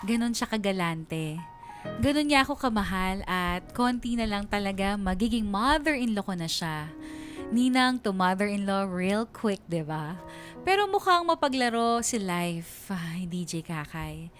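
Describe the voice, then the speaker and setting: A woman voices a young female storyteller in a radio drama. She is chatty and confiding at a middle pitch, poking fun at herself in slangy phrases, with faint music behind. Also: big pitch swings, unhurried. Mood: gushing. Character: chatty, self-mocking young woman recounting her crush. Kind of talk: dramatized letter narration for radio.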